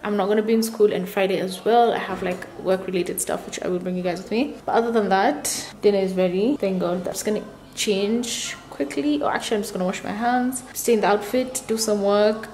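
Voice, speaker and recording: A young woman with a slightly deep voice, chatting casually as a student vlogger into a handheld camera or phone. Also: a little hiss or hum. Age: young adult. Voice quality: deeper voice for a woman. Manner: chatty, relaxed, drawn-out fillers. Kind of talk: casual vlog chat. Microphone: camera or phone held by herself.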